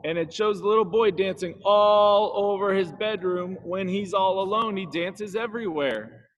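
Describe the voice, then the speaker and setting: A man's voice: high voice, normal speed. A man talking casually with children as the guest reader at a read-aloud. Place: video call.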